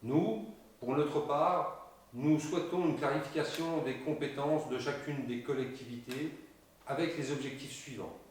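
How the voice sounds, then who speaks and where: A man giving a prepared campaign presentation in a measured, didactic way with formal phrasing, as a local candidate. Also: mid-range voice. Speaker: local election candidate presenting the list's programme. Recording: very echoey room, distant microphone.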